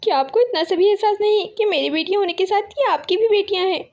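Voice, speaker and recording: A woman's voice: very high-pitched, fast talker, darkish tone, pitched above the performer's own voice. A woman voicing a distressed mother sparrow who pleads, in a cartoon. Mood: pleading.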